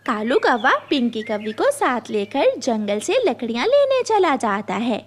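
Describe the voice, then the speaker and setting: A woman narrates a children's cartoon tale from a script. Her voice is very high and animated, taken slowly, with some faint noise behind it.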